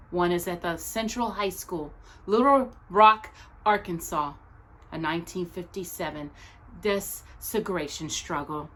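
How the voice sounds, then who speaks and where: A woman reading aloud as a storytime host, speaking earnestly and deliberately to young viewers, phrase by phrase. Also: mid-range voice, a bit bright.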